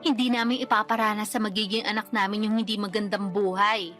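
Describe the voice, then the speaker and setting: An actress with a Filipino accent playing a sweet, whiny single daughter in a radio drama. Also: female voice, mid-range voice, little pitch movement, fairly quick, a bit bright, close to an everyday voice. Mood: earnest, wistful. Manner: respectful, plaintive, protesting when teased. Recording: music bed under the voice.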